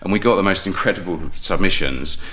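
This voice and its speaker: A man with a low voice and a bright, forward edge talks as an interview guest. He explains things articulately and at length, in a conversational, discursive style. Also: fairly quick. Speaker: podcast guest, playwright and audio producer. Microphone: podcast microphone with headphones.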